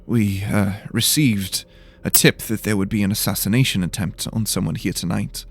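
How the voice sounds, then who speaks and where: A man's voice: on the low side, fairly even intonation, moderate pace, vocal fry, slightly rough, faint music behind. A man voicing a shaky, apologetic young ward of a lord in a fantasy audio drama, hesitant and timid. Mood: shaken.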